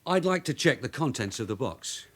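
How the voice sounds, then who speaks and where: An actor playing a shrewd, hard-nosed long-serving police detective sergeant in a radio drama, matter-of-fact and dryly authoritative. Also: male voice, mid-range voice, very animated, moderate pace. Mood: firm, official. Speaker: actor in the lead role.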